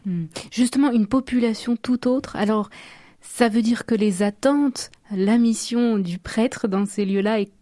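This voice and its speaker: A woman hosting a radio interview, asking questions in a gentle, measured way. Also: fairly high pitch, no echo, clean studio sound. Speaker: radio host.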